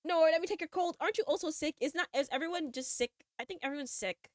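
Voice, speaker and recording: A woman's voice: high voice, quick pace, no room sound, studio quality. Stuffy-nosed bubbly young woman streamer. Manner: bubbly, giggly and gushing. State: stuffy nose from a cold. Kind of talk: casual chat and reading a visual novel aloud.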